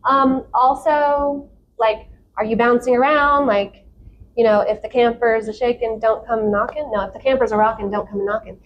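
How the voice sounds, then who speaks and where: Chatty American woman, slightly high voice. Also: fairly even intonation, normal speed, lo-fi sound, a little hiss or hum. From South Florida, United States. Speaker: podcast guest. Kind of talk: casual conversational interview answers.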